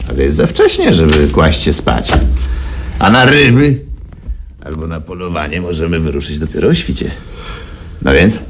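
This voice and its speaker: An actor playing a self-assured, domineering, self-satisfied rich boss in a radio drama. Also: male voice, extremely low pitch, very animated, moderate pace, long pauses, background noise. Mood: impatient, dismissive. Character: Orville Lord, self-assured overfed male tycoon, domineering. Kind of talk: Polish radio drama acting.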